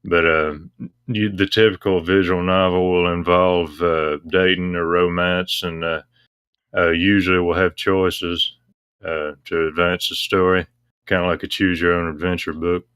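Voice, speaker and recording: A man answers as an interview guest in a low voice with very little rise and fall. He explains casually and matter-of-factly, with a clear, bright edge to his sound. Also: little pitch movement, a little slow, dry recording, clean studio sound.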